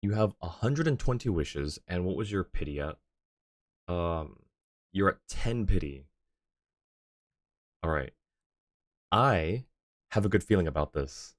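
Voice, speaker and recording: A man's voice: sits low, expressive, measured pace, pauses often, dry recording. A man chatting casually as a game streamer, thinking aloud in an informal way. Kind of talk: casual livestream chat and game commentary.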